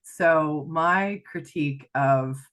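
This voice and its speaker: A low-voiced woman in her thirties with a Canadian accent tinged by Irish. She speaks reflectively, filling pauses and wandering into asides, heard over a remote call mic. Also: fairly bright tone. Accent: Canadian with an Irish lilt. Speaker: guest, life coach. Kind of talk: conversational interview.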